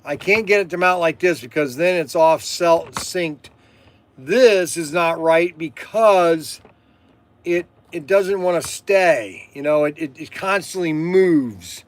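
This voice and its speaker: A man in his sixties chats casually as the host of a livestream. His voice sits a little high for a man and he takes his time, speaking slowly. He rambles in a halting way, circling back over phrases and stumbling on words. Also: lively intonation, no room sound. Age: 60s. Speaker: livestream host selling hobby toys and model cars.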